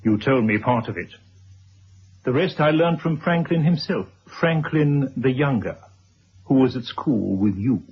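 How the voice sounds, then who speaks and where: A man acting a stern, unbending writer in a radio drama, speaking formally and deliberately with a husky voice. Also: medium pitch, animated, heavily acted. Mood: accusing. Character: stern, unbending male writer confronting an old acquaintance. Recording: dull, muffled old radio recording.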